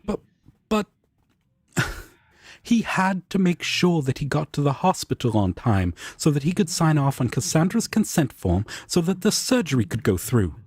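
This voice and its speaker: A man playing a polite, earnest, excitable angel roommate in an audio drama, with a husky voice and formal, courteous phrasing. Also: on the high side, normal speed, dry recording, studio quality. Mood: indignant, invested. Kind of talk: roleplay audio drama, comfort scene.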